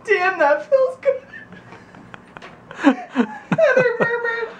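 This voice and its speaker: Woman, high voice, giggly informal read-aloud, roomy sound from a distant mic. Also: big pitch swings, very slow, dull audio, background noise. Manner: giggly, often breaking into laughter. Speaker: reader of a birthday story. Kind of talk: reading aloud informally to friends.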